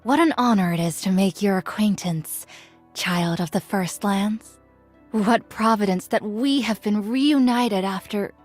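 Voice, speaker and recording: A stern and regal ancient warrior woman played by an actress for a video game. She speaks slowly and formally, with haughty, wrathful weight, and music runs faintly behind her. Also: medium pitch, a little mellow, lightly acted. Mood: gracious.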